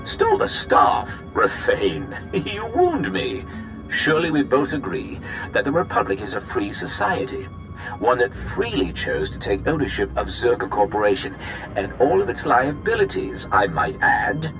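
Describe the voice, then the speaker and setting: An actor playing a smug, slick sci-fi corporate executive in a video game, with a pompous, glib, teasing manner. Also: male voice, medium pitch, wildly swooping pitch, a little slow, music in the background, poor audio. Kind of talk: video game cutscene acting.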